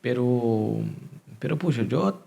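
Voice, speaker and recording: Male podcast host, mid-pitched with vocal fry, Brazilian accent, Portuguese native, loose conversational delivery. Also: expressive, takes it slowly, dark tone. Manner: informal, mixes in Portuguese words. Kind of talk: casual interview chat.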